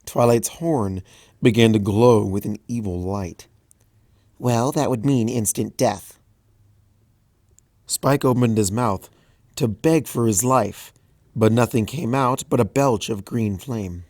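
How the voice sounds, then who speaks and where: A narrator reading a comic fan story aloud, with expressive storytelling, recorded at home on a close mic. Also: mid-range voice, a little slow, dry recording. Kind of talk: audiobook fanfic reading.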